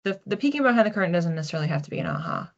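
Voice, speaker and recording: A woman with a fairly low voice talks through an oracle card reading as its host. Her delivery is informal and reflective, with lively asides. The sound is a little muffled and lo-fi. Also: very fast. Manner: conversational, reflective, animated asides. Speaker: psychic card reader, host.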